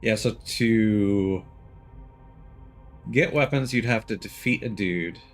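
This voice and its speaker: Game streamer, man, low-ish voice, loose rambling commentary full of fillers, faint music behind. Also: slow pace. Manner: thinking aloud, rambling with many ums and restarts. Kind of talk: casual gameplay commentary.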